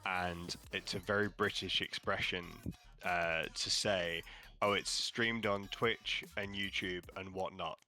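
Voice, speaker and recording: A man on a casual stream, telling a funny story with lively, laughing delivery. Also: sits low, takes it slowly. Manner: animated storyteller, laughs through his own anecdote.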